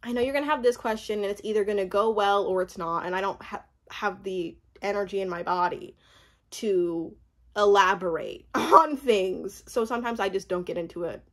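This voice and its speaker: A young woman, born in Uganda, telling a personal story in a casual, animated way, laughing often and acting out what others said. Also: medium pitch, moderate pace. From born in Uganda, raised mostly in the US. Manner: animated, laughs often, acts out quoted speech. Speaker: vlogger.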